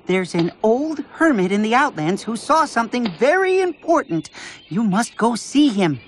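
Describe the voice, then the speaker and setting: A woman voicing a small, anxious animal sidekick in an earnest, urgent, fussy manner for an animated game. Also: fairly high pitch, moderate pace, a little hiss or hum. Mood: urgent, worried. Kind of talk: animated game cutscene acting.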